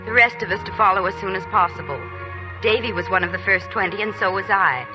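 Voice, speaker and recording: An actress in a 1940s radio play voicing a plucky young army nurse, narrating and talking in a brisk, wry way. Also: female voice, mid-range voice, over music, close to an everyday voice, low-quality recording.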